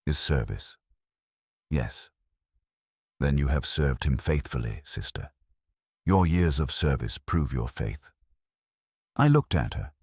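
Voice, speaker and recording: A man giving a solemn, measured, scripted first-person narration of a dramatic story. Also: very deep voice, a little mellow, slightly rough, no room sound, studio quality.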